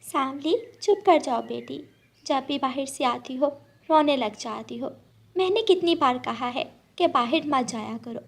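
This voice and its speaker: A woman voicing a weary, worried mother bird in a cartoon, gently admonishing. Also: sits high, a little slow, vocal fry.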